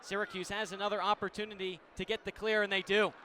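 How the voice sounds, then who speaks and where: High-voiced American man calling live sports. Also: level tone, quick pace, pushed and bright, a little hiss or hum. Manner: clipped, descriptive phrasing. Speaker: play-by-play radio commentator. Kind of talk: live lacrosse radio commentary.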